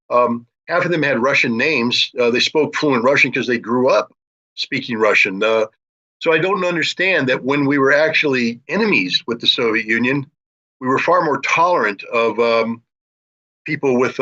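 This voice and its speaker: Forceful American man, slightly muffled audio. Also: medium pitch. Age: middle-aged or older. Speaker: interviewer and military commentator. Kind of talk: discursive geopolitical discussion.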